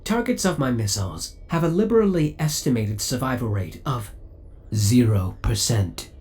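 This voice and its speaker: Actor doing a jealous spaceship ai for an audio roleplay, super formal and precise, pitch swings up and down a lot, politely menacing. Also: animated, moderate pace, darkish tone, a little hiss or hum, lightly acted. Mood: coolly jealous. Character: possessive spaceship AI, devoted, coldly jealous, clinical. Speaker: voice actor playing a ship's AI. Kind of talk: scripted ASMR audio roleplay acting.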